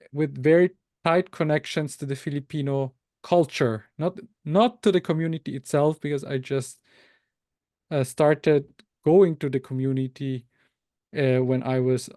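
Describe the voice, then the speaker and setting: Man from austria, tagalog first language, kinda high voice, lots of ums and restarts, dry close sound. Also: unhurried, dark tone, no echo. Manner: hesitant, many fillers and self-corrections. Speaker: researcher and author, interview guest. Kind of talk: thoughtful interview answers.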